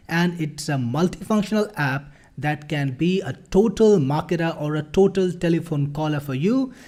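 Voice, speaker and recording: A man presenting a promotional software walkthrough in an explanatory, salesy manner. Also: a little high. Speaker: product demonstrator.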